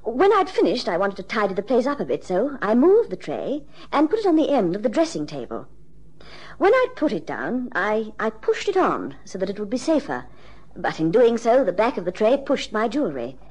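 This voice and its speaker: A woman's voice: mid-range voice, wildly swooping pitch, moderate pace, darkish tone, breathy edge, poor audio. An actress playing a genteel, well-to-do woman in her thirties in an old radio drama, proper and a little hesitant. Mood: earnest, explaining.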